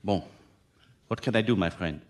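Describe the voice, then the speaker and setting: A man's voice: low voice, moderate pace, close to an everyday voice. An actor playing an obliging male hotel employee in a radio mystery drama. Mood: obliging, puzzled.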